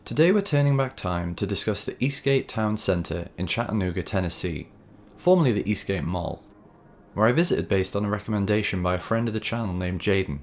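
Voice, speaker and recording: A man hosting a video essay, reading scripted narration in a measured, wry, conversational way. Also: fairly low pitch, expressive, slight background noise. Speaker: host and narrator of a video series. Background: background music.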